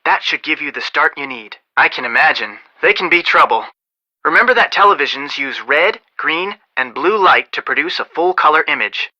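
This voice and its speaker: An actor playing a calm, helpful young amateur detective in a video game, giving measured, confident instructions, in slightly dull audio. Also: male voice, a little high, exaggerated voice. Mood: helpful, patient.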